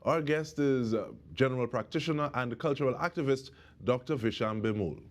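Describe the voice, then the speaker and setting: A man's voice: mid-range voice, a little fast. Man hosting an interview show. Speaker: interview host. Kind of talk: broadcast interview.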